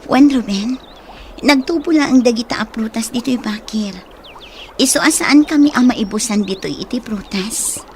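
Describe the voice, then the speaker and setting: A woman playing a tender, fearful young sweetheart in a radio drama, speaking softly and sometimes breathily. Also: on the high side, normal speed, slight background noise, natural voice. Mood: gentle.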